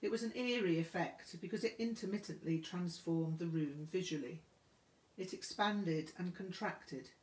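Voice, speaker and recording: A woman reading a memoir aloud as scripted narration, with measured, deliberate phrasing. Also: fairly low pitch, darkish tone. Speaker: audiobook reader. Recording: roomy, echoing room sound.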